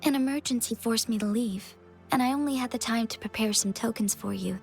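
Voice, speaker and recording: A woman's voice: a little high, brisk, creaky, darkish tone, faint music behind. An actress playing a composed, gracious young female magistrate in a video game, speaking politely and formally.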